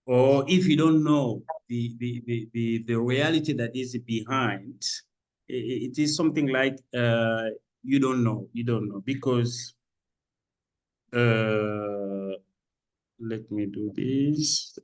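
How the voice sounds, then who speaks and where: A man from Senegal presenting an academic paper at a conference, speaking haltingly with many fillers and restarts. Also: medium pitch. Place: conference room.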